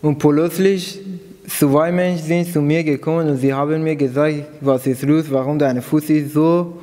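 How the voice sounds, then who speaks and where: A man from Iran giving a personal testimony in halting, phrasing, pausing after each short part. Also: on the high side, fairly even intonation, warm tone. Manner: simple non-native German, halting phrasing. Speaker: church member giving testimony. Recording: long hall reverb.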